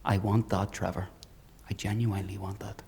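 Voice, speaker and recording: An actor playing a menacing, fanatical hunter in a dark fantasy audio drama, speaking in a deliberate, taunting, theatrical way. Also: male voice, low-pitched, level tone, a little hiss or hum. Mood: cold menace. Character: menacing male fanatical hunter, coldly articulate, mocking.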